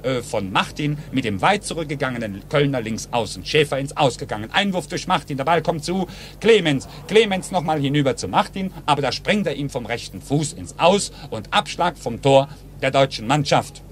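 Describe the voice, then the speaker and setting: High-voiced man, noisy old football radio commentary. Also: expressive, moderate pace. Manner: detailed running play-by-play in short clipped phrases. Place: outdoors in a football stadium.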